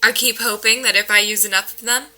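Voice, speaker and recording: A woman's voice: medium pitch, steady tone, quick pace, bright, forceful tone. A woman playing a skeptical, wry paranormal investigator in a naturalistic audio drama, with a dry, joking manner. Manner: dry, joking, matter-of-fact.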